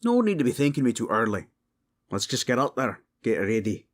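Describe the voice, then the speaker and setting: A man playing a gruff, hearty dwarf king and armorer in a fantasy audio drama, blunt, proud and commanding. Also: mid-range voice, big pitch swings, brisk, lightly acted. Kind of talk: fantasy audio roleplay acting.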